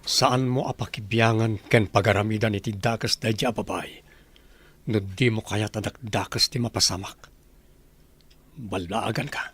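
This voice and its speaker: A man playing a cold, threatening master of the house in a radio drama, with a husky voice. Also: lowish voice, fairly even intonation, normal speed, fairly bright tone, soft background music. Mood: menacing. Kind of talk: Ilocano radio drama acting.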